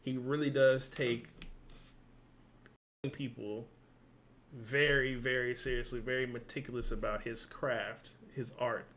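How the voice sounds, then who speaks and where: A man hosting a reaction video, talking in a relaxed, thinking-aloud way and swearing now and then. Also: mid-range voice, unhurried, long pauses, slight background noise. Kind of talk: casual reaction commentary.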